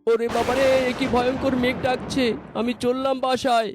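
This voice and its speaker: A timid cartoon bird, put on by a performer, fretting in a high but fairly even-toned voice. Loud music runs behind the words. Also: normal speed, close to an everyday voice. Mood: frightened. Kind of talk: children's cartoon voice acting.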